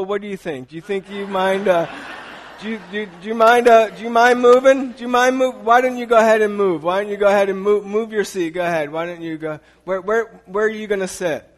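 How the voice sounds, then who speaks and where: High-voiced man preaching casually. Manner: conversational, halting with restarts, chuckling asides. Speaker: pastor. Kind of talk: informal sermon to a congregation. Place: church sanctuary.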